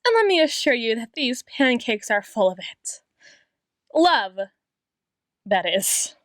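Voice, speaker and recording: Woman acting a high-voiced, slow, obsessive doting doctor. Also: big pitch swings, fairly bright tone, no echo, clean studio sound, full character voice. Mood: doting, quietly menacing. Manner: breathy whispers, lingering pauses, repeats phrases tenderly. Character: obsessive, doting female doctor, sweetly menacing yandere. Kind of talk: ASMR roleplay, dramatic monologue addressed to listener.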